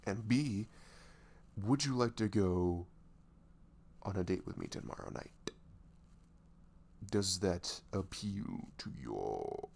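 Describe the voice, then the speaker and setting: A man playing a nervous, dorky best friend confessing love in an intimate ASMR roleplay, halting and stammering, with sighs and soft breathy moments. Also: on the low side, slight background noise, heavily acted. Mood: shyly eager. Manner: halting, stammering, sighing, breathy laughs, often dropping to whispers. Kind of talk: roleplay ASMR audio drama, intimate and conversational.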